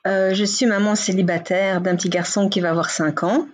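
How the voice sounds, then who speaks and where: Woman, 40s, from Belgium, interview guest; husky, frank, chatty, filler-heavy. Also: medium pitch, fairly even intonation, a little fast. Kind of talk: casual interview talk.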